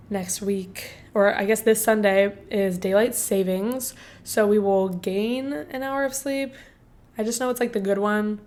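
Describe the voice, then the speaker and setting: Hoarse, congested woman chatting. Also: medium pitch. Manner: chatty and bubbly, many "like" and "um" fillers. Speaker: podcast host. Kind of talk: casual solo podcast chat.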